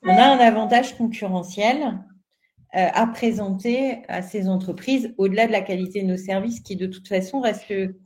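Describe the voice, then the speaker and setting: A woman's voice: medium pitch, moderate pace, darkish tone. Earnest Frenchwoman on a webinar panel. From northern France. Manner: earnest and explanatory, with frequent hesitations. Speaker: panelist, self-employed business owner.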